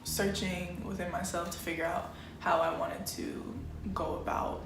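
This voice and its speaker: A woman's voice: lowish voice, flat delivery, normal speed, background noise. A twenty-year-old American woman from Chicago chats casually to camera as a vlogger, slangy and often interrupting herself. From Chicago, United States. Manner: informal, self-interrupting, slangy. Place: small plain-walled room indoors. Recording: echoey room with music underneath. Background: music.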